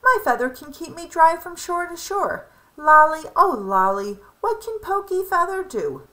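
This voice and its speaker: A woman's voice: very high voice, sing-song, measured pace, pushed and bright, voice pushed up, exaggerated voice, poor audio. A woman voicing a good-natured, chuckling duck in a children's storybook, with singsong exclamations. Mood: amused, amazed.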